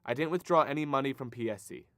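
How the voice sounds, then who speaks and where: A man's voice: mid-range voice, fast talker, fairly bright tone, no room sound, clean studio sound, close to an everyday voice. A man playing an evasive, self-serving bank robber in a radio drama, nervous and pleading. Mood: confessing. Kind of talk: noir radio drama acting.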